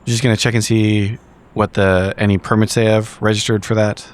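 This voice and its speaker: A man playing a blunt, awkwardly friendly female investigator in an improvised tabletop roleplay, talking casually and haltingly. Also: fairly low pitch, level tone, lightly acted. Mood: awkward, helpful.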